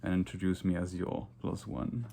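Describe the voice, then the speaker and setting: A man playing a vain, condescending yet flirtatious vampire in a soft-spoken, nonchalant ASMR roleplay. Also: sits low, little pitch movement, vocal fry, a little hiss or hum. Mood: smug, flirtatious. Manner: soft-spoken, unhurried, repeats words in whispers.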